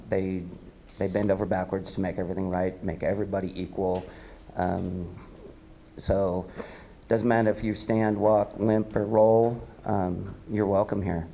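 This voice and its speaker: A raspy, gravelly-voiced man in his fifties gives a relaxed keynote, loose and upbeat, with plenty of ums. His low voice has a dark, mellow tone. He speaks into a podium mic in an event hall. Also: slight background noise. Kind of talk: casual speech at an awards event. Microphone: podium microphone.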